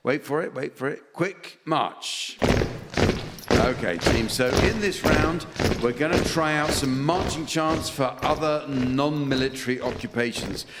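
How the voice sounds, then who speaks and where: A man's voice: medium pitch, unhurried. A man chairing a comedy panel show, delivering scripted comic links to a live audience. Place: theatre stage with live audience. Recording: echoey theatre, audible audience, noisy.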